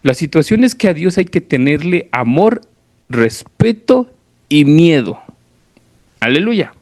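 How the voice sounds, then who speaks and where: A man's voice: a little high, very animated, takes it slowly, darkish tone, no echo. A man with a Mexican accent preaching an informal, didactic sermon, explaining conversationally and often checking that listeners follow. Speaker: preacher at a church service. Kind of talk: informal sermon with Bible reading.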